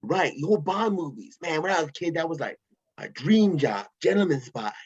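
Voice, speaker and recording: A man's voice: fairly high pitch, big pitch swings, normal speed, slightly rough. A man reading a breezy, casual billionaire in an online table read, with a loose, casual delivery. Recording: dull lo-fi home mic.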